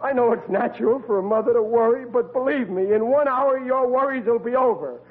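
High-voiced, flustered radio dad. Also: male voice, a little fast, narrow, tinny audio. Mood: blustering, defensive. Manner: stammering, flustered. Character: bumbling, blustering family father, excitable and sheepish. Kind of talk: 1940s radio comedy acting. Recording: thin, band-limited old radio recording with hiss.

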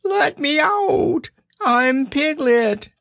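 Little storybook piglet, super high and squeaky, jerky, anxious, kinda indignant, slow delivery. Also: male voice, fairly even intonation, gravelly, a bit bright, dry recording, clean studio sound, full character voice. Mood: frantic protest. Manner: jerky, spluttering, protesting. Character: small timid piglet, shrill, anxious, indignant when pushed. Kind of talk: storybook character reading.

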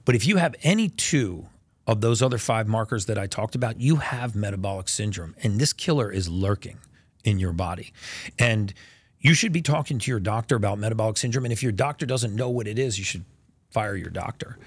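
Male podcast host, low-pitched, casual, punchy delivery, dry sound. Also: brisk, slightly rough, no echo. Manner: energetic, casual, drops stats with emphasis. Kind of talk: conversational interview.

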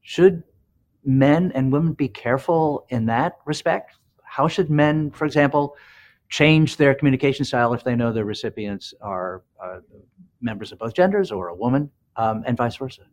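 A man's voice: mid-range voice, moderate pace, darkish tone. A man hosting a podcast, asking long questions with many fillers and restarts. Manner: hesitant, many ums and restarts. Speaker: podcast host. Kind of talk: interview questions.

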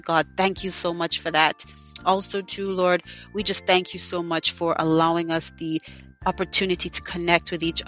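A woman's voice: on the low side, a bit bright. A young woman hosting a faith podcast. She prays fervently, then chats casually and tells personal stories with many fillers. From United States, partly raised in Jamaica. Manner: fervent, repeats thanks and "God" often; chatty, many "you know" fillers. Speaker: podcast host. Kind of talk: prayer and personal storytelling.

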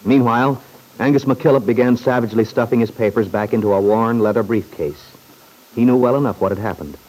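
An actor narrating an old-time radio courtroom serial as a grave, dramatic storyteller. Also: male voice, mid-range voice, a little fast, darkish tone, lightly acted, rough recording.

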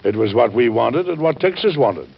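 A man playing a dignified, courteous statesman, kindly but firm, with formal measured phrasing and a gravelly voice in an old-time radio drama. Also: mid-range voice, expressive. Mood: resolute. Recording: dull, band-limited old radio recording.

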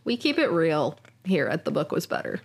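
A woman's voice: low-pitched, huge pitch swings, moderate pace, gravelly, a little hiss or hum. Upbeat young woman co-host. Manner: upbeat, teasing, laughs easily. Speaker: podcast co-host. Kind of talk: casual chat.

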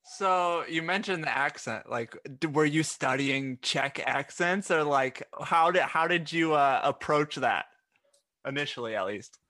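A man's voice: fairly high pitch, a little slow, bright, forceful tone, no room sound. A man co-hosting a podcast, asking long, loose questions full of "like" and "you know.". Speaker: podcast co-host. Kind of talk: casual interview questions.

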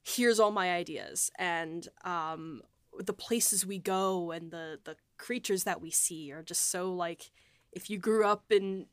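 Woman, lowish pitch, excited gushing delivery, casual podcast host, dry close sound. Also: slow pace, smooth, dry recording. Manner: enthusiastic, gushing. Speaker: podcast host and audio drama writer.

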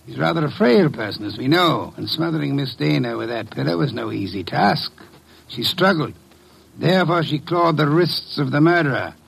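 An actor playing an erudite, imperious detective boss in an old radio drama, speaking formally and precisely in long, wordy sentences. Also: male voice, a little high, expressive, moderate pace, vocal fry, fairly bright tone, husky, heavily acted, low-quality recording. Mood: measured, accusing. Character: pompous, erudite detective boss, sedately unmasking killers. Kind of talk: 1950s radio drama acting.

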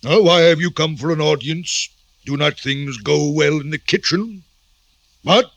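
Gravelly, menacing aristocrat general. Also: male voice, a little high, fairly bright tone, no room sound, lo-fi sound, exaggerated voice. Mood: stern, impatient. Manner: commanding, menacing, deliberate. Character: cruel, imperious Russian aristocrat general, vengeful father. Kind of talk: 1950s radio drama acting. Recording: vintage radio recording.

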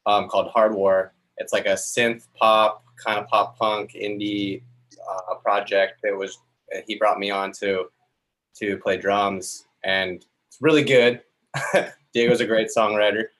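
An easygoing man speaks casually in a band interview, in a voice a bit low for a man. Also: fairly even intonation, unhurried, a bit bright, rough recording. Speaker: band drummer guest.

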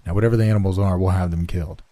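A man's voice: sits low, steady tone, racing, mellow, dry recording, natural voice. A man voicing a stern, wealthy middle-aged industrialist who gives clipped orders. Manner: commanding, clipped.

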